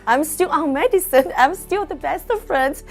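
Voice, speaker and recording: A woman's voice: high-pitched, animated, moderate pace, soft background music. A woman, giving a personal testimonial. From outside Canada, moved there as an adult. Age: 50s. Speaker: insurance agency owner.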